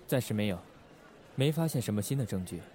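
A man playing a controlled, calculating undercover agent in a radio drama, measured and guarded. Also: lowish voice, expressive, darkish tone, lightly acted. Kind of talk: Chinese radio drama acting.

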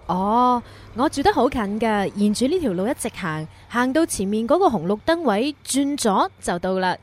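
A woman's voice: fairly high pitch, big pitch swings, moderate pace. A woman playing a sweet, teasing young museum worker in a radio romance, playful and coy. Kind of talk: romantic radio drama dialogue.

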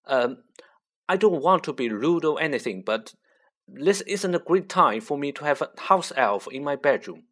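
A woman's voice: sits low, lively intonation, moderate pace, pitched above the performer's own voice. A woman voicing a polite, nervous young boy, hesitant and well-meaning, in an audiobook. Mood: polite, puzzled. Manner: hesitant, courteous.

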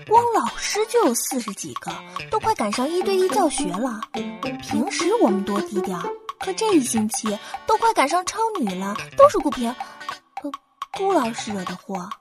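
A woman playing a lively young female law student, easily flustered, in a Chinese campus radio drama. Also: fairly high pitch.

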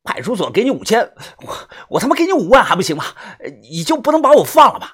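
A man voicing a panicked, foul-mouthed middle-aged fugitive with a Northeastern accent who stammers, pleads and shouts. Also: high-pitched, sing-song, a little fast, slightly rough, voice pushed up, full character voice. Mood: cursing desperate. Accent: Northeastern Chinese.

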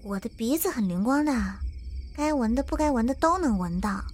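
A woman's voice: fairly high pitch, lively intonation, a little fast, a little mellow. A woman voicing a frightened, whiny young survivor who pleads and panics in a zombie audio drama. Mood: pouting, teasing. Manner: pleading, girlish, prone to panic. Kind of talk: fan audio drama acting.

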